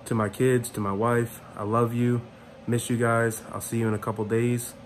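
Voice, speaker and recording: A man in his thirties talking casually and haltingly to a phone held at arm's length in a small room. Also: mid-range voice, level tone, a little hiss or hum. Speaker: vlogger. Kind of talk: casual vlog sign-off to camera.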